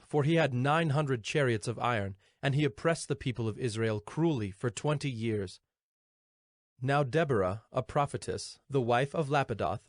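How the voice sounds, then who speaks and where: A man narrating scripture in a solemn, measured storytelling manner. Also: medium pitch, no echo, clean studio sound. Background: music.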